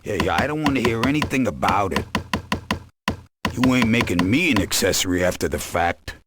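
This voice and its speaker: An actor playing a jumpy small-time crook who talks in casual slang. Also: male voice, lowish voice, lively intonation, normal speed, heavy background noise, lightly acted. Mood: wary, casual. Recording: loud music behind, game audio.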